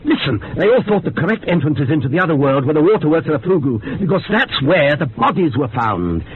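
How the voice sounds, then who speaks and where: An actor in a radio horror drama playing a sceptical, hard-headed investigator, brisk and dismissive. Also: male voice, on the high side, very animated, a little hiss or hum. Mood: insistent. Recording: narrow phone-like band.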